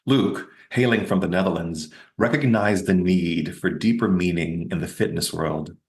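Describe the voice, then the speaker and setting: A man hosting an interview show, reading a measured scripted introduction and then asking questions. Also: low voice, vocal fry. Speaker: podcast host.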